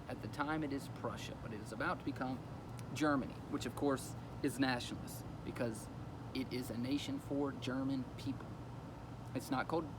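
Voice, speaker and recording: A man's voice: mid-range voice, noisy background. A man explaining a history lesson in a casual, conversational way, with fillers as he goes. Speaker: teacher.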